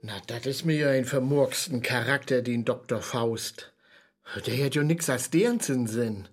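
An actor playing an idealistic, philosophically minded writer in a radio play, earnest and pondering, with a Low German accent. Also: male voice, unhurried, faint music behind, lightly acted. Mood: disapproving. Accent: Low German, northern Germany. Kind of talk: conversational radio play acting.

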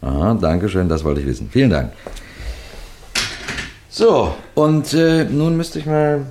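A man acting a shrewd middle-aged police detective in a radio mystery, with a deliberate, lecturing manner that builds suspense through pauses. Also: medium pitch, animated, noisy background. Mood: brisk, inquiring. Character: shrewd, unhurried senior police detective explaining a case. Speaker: detective narrator.

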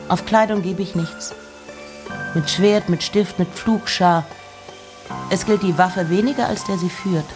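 An actress playing an intense, eccentric nineteenth-century woman poet, reciting in a literary radio play with deliberate, theatrical phrasing and pauses. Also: on the low side, close to an everyday voice. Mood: imperious, eager. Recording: music behind the voice.

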